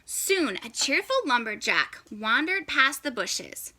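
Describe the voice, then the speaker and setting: A woman reads a story for young children in a high, very bright voice. She takes her time, pausing for drama, and keeps the delivery animated and expressive. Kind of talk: animated children's read-aloud.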